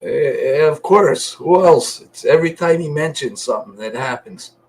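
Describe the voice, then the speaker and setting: A man's voice: fairly high pitch, lively intonation, normal speed, slight background noise, rough recording. A man co-hosting a casual talk show, joking and bantering. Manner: jokey, wisecracking. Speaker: podcast co-host.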